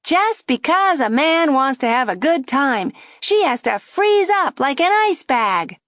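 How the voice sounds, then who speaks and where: A brash wooden dummy with a cheeky, nasty streak, as a woman puts him on, pitched high and loud above the table talk. The voice swoops around with mocking lilts, and every insult is thrown out for the whole room to hear. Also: animated, a little slow, no room sound, studio quality. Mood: taunting. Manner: loud, taunting, jeering. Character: rude brash male ventriloquist's dummy, insulting.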